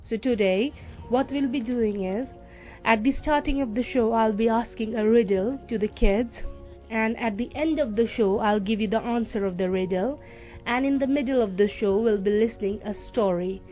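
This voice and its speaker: A woman with a Nepali accent hosting a children's radio show and reading stories aloud in a deliberate, clearly phrased way for young listeners. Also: a little high. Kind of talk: read-aloud children's storytelling, radio presenting. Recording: dull lo-fi radio audio with music. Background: music.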